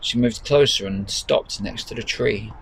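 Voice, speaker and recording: A man reading his own story as dramatic narration, with measured, deliberate pauses. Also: fairly low pitch, poor audio. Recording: faint background noise.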